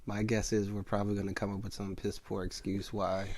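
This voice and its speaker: A man's voice: fairly low pitch, flat delivery, brisk, background noise. A man co-hosting a casual podcast with his partner, congested from a cold and playfully argumentative. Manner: dry, joking, playfully argumentative. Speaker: podcast co-host. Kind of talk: casual couple chat.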